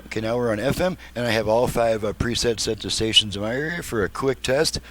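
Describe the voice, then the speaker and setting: A man's voice: mid-range voice, fast talker, fairly bright tone. A slightly tired man giving a casual, rambling, folksy demonstration as a repairman showing his work. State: a bit fatigued. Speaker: car radio repairman presenting his work.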